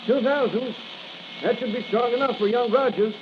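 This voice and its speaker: An actor playing a brisk, deferential prison execution technician in 1940s radio drama, on a muffled, hissy recording. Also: male voice, very high-pitched. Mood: brisk, obedient. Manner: deferential, businesslike.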